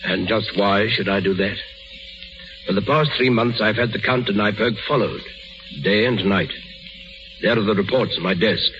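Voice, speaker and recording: A commanding, suspicious emperor played by a man in a vintage radio drama. His low voice is curt and sardonic, heard through a muffled old broadcast with music behind it. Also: moderate pace, close to an everyday voice. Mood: sardonic, cold. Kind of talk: 1930s radio acting.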